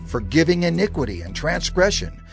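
An actor playing an earnest prophet, imploring and reverent, in a dramatized audio Bible. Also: male voice, on the high side, sing-song, brisk, vocal fry, soft background music. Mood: earnest pleading. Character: Moses, earnest male prophet pleading with God.

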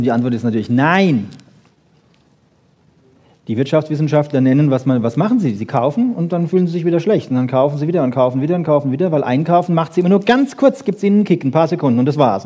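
A man giving a popular science lecture with wry humour, rhetorical questions and short checking tags, in a husky voice. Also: on the high side, dark tone. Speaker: lecturer on brain research.

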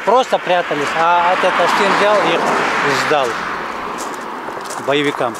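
A man's voice: on the high side, unhurried. A middle-aged man in a mountain village talking plainly to an interviewer, going back over memories and repeating himself as he tells them. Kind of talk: casual outdoor interview. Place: outdoors in a mountain village by a road. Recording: outdoor noise, passing traffic.

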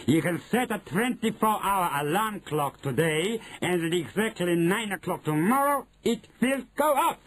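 A man's voice: sits high, animated, a bit bright, voice pushed up. Man putting on a shrieking, imperious grand witch. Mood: triumphant, scornful. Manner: commanding, drawn-out, emphatic delivery. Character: imperious, menacing Grand High Witch addressing her followers.

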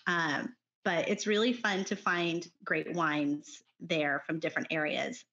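A woman's voice: low-pitched, steady tone, measured pace, bright, forceful tone, highs cut off. A middle-aged woman from Texas with a Texan accent, chatting casually and enthusiastically as a podcast guest, with frequent ums and laughs. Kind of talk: casual chat.

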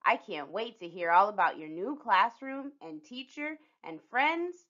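Woman playing a cheerful storybook dad, bright voice. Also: mid-range voice, expressive, measured pace, highs cut off. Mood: reassuring. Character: cheerful, reassuring father of a small girl.

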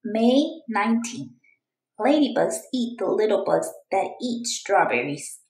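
A woman reads a children's storybook aloud with a very lively, rising and falling delivery. She is unhurried and phrases each line deliberately. Her voice echoes a little in the room. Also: medium pitch. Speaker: children's book reader.

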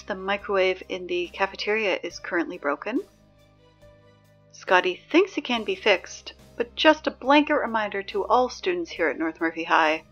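A woman playing a chatty, exasperated high school morning announcer in a scripted audio drama, conversational and wry with hesitant asides. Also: fairly bright tone, dull audio.

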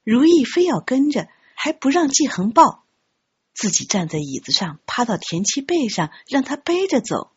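A woman narrating an audiobook story in an expressive, scripted storytelling style. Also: high-pitched, normal speed, husky, muffled recording. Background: background music.

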